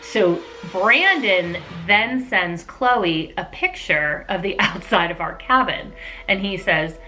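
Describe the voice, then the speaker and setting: Bright-voiced middle-aged woman telling a story. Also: medium pitch, slow, soft background music, rough recording. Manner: animated, gossipy, acts out dialogue. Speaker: vlogger telling a personal story. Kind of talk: casual storytime monologue to camera.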